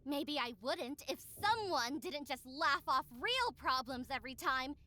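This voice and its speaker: A woman's voice: sits high, expressive, unhurried, pushed and bright, exaggerated voice. A woman playing a combative, bitter young magical girl in a visual novel, sarcastic and scornful. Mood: indignant.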